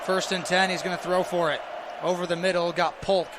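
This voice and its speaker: A man's voice: high-pitched, flat delivery, brisk. An American man doing live play-by-play radio commentary on a football game, describing the action in clipped phrases as it unfolds. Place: stadium broadcast position. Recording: noisy stadium broadcast with crowd roar.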